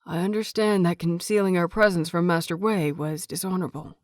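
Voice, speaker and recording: A woman's voice: lowish voice, steady tone, fairly quick, no room sound, studio quality, natural voice. Woman voicing an earnest, polite young male disciple. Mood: earnest, respectful. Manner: polite, formal, sincere.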